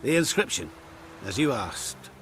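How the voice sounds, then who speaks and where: A man's voice: mid-range voice, big pitch swings, a little slow, a bit bright, slight background noise. A man playing a proud, seasoned middle-aged swordsmith in a video game, speaking in a precise, businesslike way. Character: proud seasoned master swordsmith, plain-spoken tradesman. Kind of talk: video game cutscene acting.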